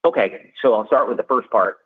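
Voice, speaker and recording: A male executive giving unscripted, measured explanations on an earnings call, with many asides. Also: mid-range voice, slightly rough, no echo. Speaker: company finance executive answering analysts. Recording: narrow tinny conference phone line.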